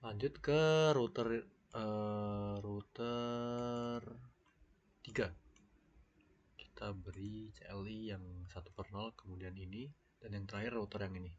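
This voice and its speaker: Low-voiced young man with a cold. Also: steady tone. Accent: Indonesian. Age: young adult. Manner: halting, thinking aloud with fillers while typing. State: sick with a cold. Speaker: student. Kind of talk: screen-recorded software tutorial. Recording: dull lo-fi mic, faint background noise.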